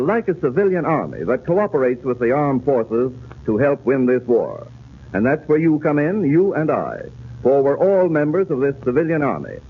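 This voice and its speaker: A man making a folksy, earnest appeal to young listeners in 1940s radio style. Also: a little high. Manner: folksy, earnest, direct address. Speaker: radio pitchman addressing children. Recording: tinny, noisy 1940s radio broadcast.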